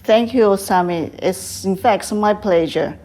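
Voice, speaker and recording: An older woman from Taiwan with a Taiwanese accent, answering interview questions in halting English with frequent fillers. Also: mid-range voice, darkish tone. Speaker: guest, tea house owner.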